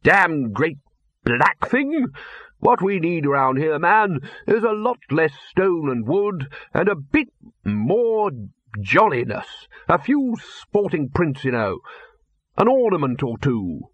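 A man reading an audiobook voices a gruff, blunt old male wizard chief who barks short phrases. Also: a little high, sing-song, slow pace, a bit bright, no echo, highs cut off, voice pushed up, heavily acted. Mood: blustering. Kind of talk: audiobook character voice.

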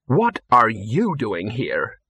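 Man as a haughty young woman, pitched high. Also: big pitch swings, slow, breathy edge, dry recording, exaggerated voice. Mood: disdainful. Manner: sharp, demanding, disdainful. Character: haughty, demanding, easily flustered young woman. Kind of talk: audiobook character voice.

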